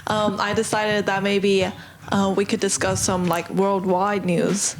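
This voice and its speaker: Young female, medium pitch, hesitant informal news chat, faint background noise. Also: flat delivery, a little slow. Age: teens or young adult. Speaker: student podcast news presenter.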